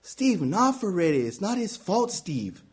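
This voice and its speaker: A gentle, quiet mother who speaks softly and pleads with a hushed voice. A man puts her on as he tells a story. Her voice stays low in volume and slightly raised in pitch. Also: very animated, slow pace, husky, exaggerated voice. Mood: pleading. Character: soft-spoken pleading mother.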